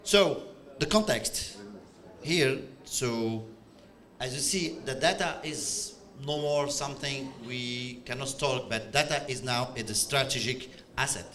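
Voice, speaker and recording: A man's voice: mid-range voice, slow, a bit bright. A middle-aged man from Algeria with an Algerian accent, giving a conference presentation in non-native English, hesitant, with many fillers and restarts. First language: French and Arabic. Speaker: conference speaker. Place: conference stage.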